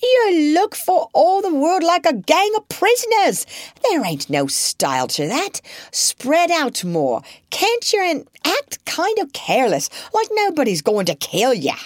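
A woman voicing a proud, bossy working-class mother who scolds her children in homely rustic speech, pitched high and emphatic. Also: sing-song, unhurried, no echo, studio quality. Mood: exasperated.

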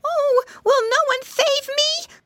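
A woman's voice: very animated, moderate pace, bright, forceful tone, no echo, heavily acted. A woman reading a children's storybook, voicing a small, eager, helpful piggy boy with childlike exclamations. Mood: frightened pleading. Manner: high-pitched childlike exclaiming.

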